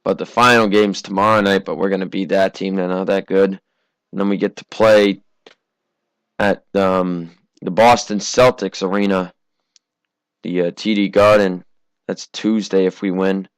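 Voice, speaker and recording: A teenage American man from Massachusetts giving casual, conversational amateur racing commentary, his voice slightly hoarse from a cough. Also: deep voice, level tone, measured pace, dry recording, rough recording. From Massachusetts, United States. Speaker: race commentator.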